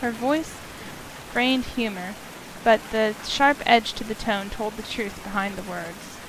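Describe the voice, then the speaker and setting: A woman's voice: mid-range voice, measured pace, noisy background. A woman narrating a visual novel as a scripted story, reading descriptive prose aloud. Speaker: narrator, game player. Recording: loud music and rain behind. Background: game music and rainfall.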